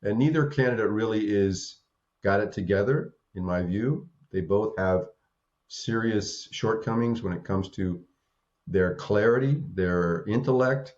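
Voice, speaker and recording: A man in his seventies, a voter giving his view in measured, halting sentences. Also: fairly low pitch, a little mellow, muffled recording. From Southern California. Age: 70s. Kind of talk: interview clip.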